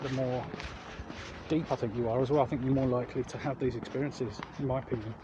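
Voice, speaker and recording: A man's voice: mid-range voice, steady tone, fast talker, highs cut off. A man chatting casually to viewers as a vlog host, hesitant and often correcting himself mid-sentence. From England. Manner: hesitant, self-correcting, repeats words. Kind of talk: casual rambling chat to viewers. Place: outdoors, walking along urban streets. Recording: outdoor recording with traffic noise.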